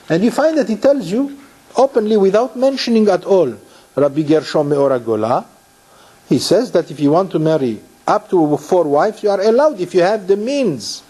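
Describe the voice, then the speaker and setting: A man from Morocco delivers a Torah lecture in a mellow, fairly high voice. He often restarts his phrases and poses questions to his listeners. He sounds middle-aged. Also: breathy edge. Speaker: rabbi.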